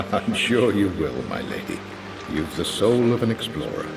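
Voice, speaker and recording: Kindly English butler, deep, very animated pitch, game dialogue, loud music. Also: male voice, a little slow, vocal fry, exaggerated voice, rough recording. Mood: fond. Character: kindly, courteous male English family butler, gently indulgent.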